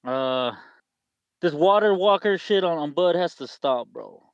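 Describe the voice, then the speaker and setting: A caller, a man with a slightly high voice, talks in a heated, profane way and slips into Spanish now and then. His voice sounds close and dry. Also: lively intonation, a little slow, clear, resonant tone, no echo, muffled recording. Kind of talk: casual call-in chat.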